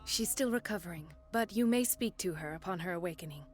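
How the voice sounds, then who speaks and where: An actress playing a composed, caring and determined hospital doctor in a video game, with a measured clinical delivery. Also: female voice, lowish voice, animated, faint music behind, lightly acted. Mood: reassuring. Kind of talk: video game cutscene acting.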